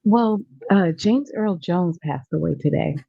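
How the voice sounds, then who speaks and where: A woman's voice: fairly low pitch, animated, unhurried, vocal fry, warm tone, slightly rough, no echo, lo-fi sound. A woman panelist on a remote call, teasing and playful in casual chat.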